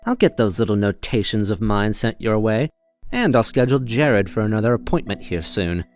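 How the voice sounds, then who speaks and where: Audio drama villain: actor as a sly, sadistic man, mannered and insinuating, very animated pitch, faint music. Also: moderate pace, natural voice. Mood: smug, resigned. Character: smug, sly man, quietly sadistic schemer. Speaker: doctor's colleague in an audio drama.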